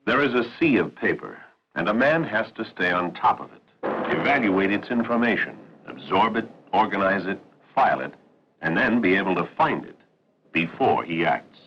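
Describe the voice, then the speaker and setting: A man giving formal, authoritative, measured narration for a 1960s military training film. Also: low-pitched, sing-song, fairly bright tone, a little hiss or hum. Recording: muffled 1960s film soundtrack.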